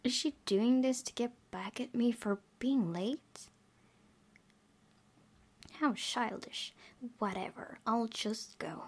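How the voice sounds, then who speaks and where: A woman's voice: a little high, slow pace, pauses often, breathy edge. A woman doing a let's play, reading visual novel text aloud expressively with spontaneous, giggly asides. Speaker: gaming video host. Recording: noticeable background noise and ambience.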